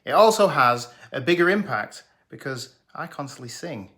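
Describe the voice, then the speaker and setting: A man's voice: medium pitch, lively intonation, normal speed, a bit bright. A man, presenting a scripted, jokey film review. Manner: jokey, sarcastic, enthusiastic. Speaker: movie reviewer.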